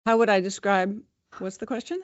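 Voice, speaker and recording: A woman's voice: mid-range voice, steady tone, brisk, dry recording, dull audio. A woman from the United States, a podcast guest, speaking thoughtfully and restarting her sentences now and then. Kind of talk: podcast interview.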